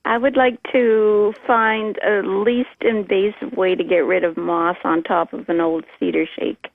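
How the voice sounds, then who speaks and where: A woman's voice: medium pitch, little pitch movement, a little slow. A woman phoning in to a show over a narrow telephone line, politely asking for advice. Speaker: caller. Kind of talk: polite phone query.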